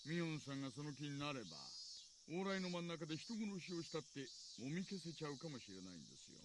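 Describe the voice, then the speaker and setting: A man's voice: on the high side, expressive, racing, background noise. A man playing a sly middle-aged police detective in a Japanese visual novel, needling and falsely polite with an insinuating drawl. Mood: slyly probing. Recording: game music behind the voice.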